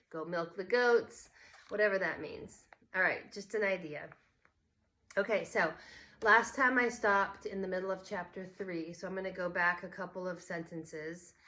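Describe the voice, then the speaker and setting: A woman's voice: lowish voice, a bit bright. A woman teacher who talks informally to her students and reads stories aloud expressively. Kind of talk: casual talk and expressive read-aloud.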